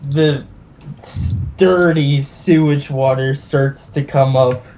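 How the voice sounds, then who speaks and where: A man narrating a tabletop game as game master, in a halting, deliberate way. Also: medium pitch, steady tone, mellow, lo-fi sound.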